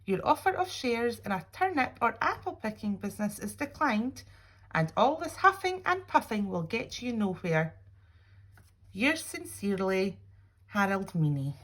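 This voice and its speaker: A woman's voice: medium pitch, very animated, measured pace, a bit bright. A woman reading aloud as a stern, formal lawyer in a children's story, officious and admonishing. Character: stern formal lawyer writing on a client's behalf.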